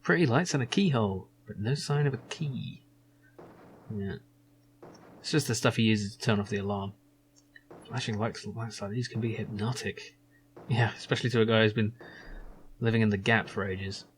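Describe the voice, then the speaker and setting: A man's voice: on the low side, moderate pace, long pauses, slight background noise. A man hosting a casual game playthrough, chatting in an amused way and reading the hero's lines. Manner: chatty and amused, with frequent laughs and fillers. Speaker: gameplay video host. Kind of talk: casual let's-play commentary and reading lines.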